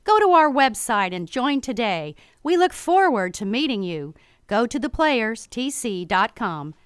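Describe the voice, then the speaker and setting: A woman's voice: on the high side, big pitch swings, unhurried, clear, resonant tone, no room sound, clean studio sound. A woman announcer reading a scripted radio advertisement. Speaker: promo announcer.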